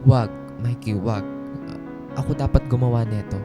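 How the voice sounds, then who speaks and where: A woman's voice: extremely low pitch, fairly even intonation, normal speed. A woman playing a timid, wronged office worker in a radio drama, speaking hesitantly and deferentially over music. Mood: weary, anxious. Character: timid, wronged female office employee, downtrodden. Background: background music.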